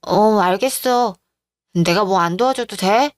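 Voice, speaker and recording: A woman's voice: mid-range voice, measured pace, no echo, clean studio sound, natural voice. A woman playing an emotional teenage girl, attached to her family and resentful, in a radio-drama style story. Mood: concerned, wistful.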